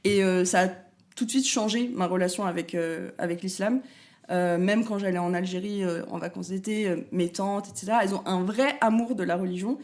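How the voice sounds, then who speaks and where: A woman's voice: medium pitch, fairly quick, a little mellow. Chatty young woman. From France, Paris. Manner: chatty, talkative, many fillers and restarts. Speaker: guest, content creator. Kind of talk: casual interview talk.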